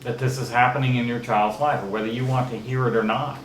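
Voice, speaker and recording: A man in his fifties speaking in a calm, measured way as a father on a family channel. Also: mid-range voice. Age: 50s. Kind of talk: casual chat. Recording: echoey room with faint noise.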